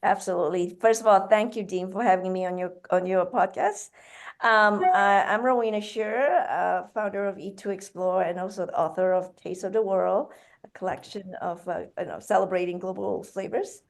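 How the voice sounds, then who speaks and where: A woman's voice: medium pitch, fairly even intonation, moderate pace, vocal fry. A woman from Malaysia, a guest author, answering interview questions with many hesitations. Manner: hesitant, with many fillers and restarts. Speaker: guest author and food entrepreneur.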